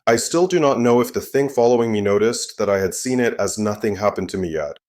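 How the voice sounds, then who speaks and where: A man reading a first-person scary story aloud as narrator, in a steady, even manner. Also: lowish voice, a little fast.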